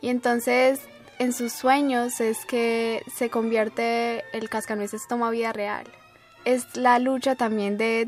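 A young woman from Colombia, a ballet dancer being interviewed on the radio, who talks haltingly and often repeats her words. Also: fairly high pitch, gravelly. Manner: hesitant, repeats words while explaining.

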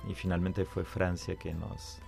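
Deep-voiced Argentine man telling his story. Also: completely flat delivery, a little slow, vocal fry, a little mellow, soft background music. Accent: Argentine Spanish. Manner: conversational, with hesitations and repeated words. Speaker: musician guest. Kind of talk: radio interview, personal storytelling.

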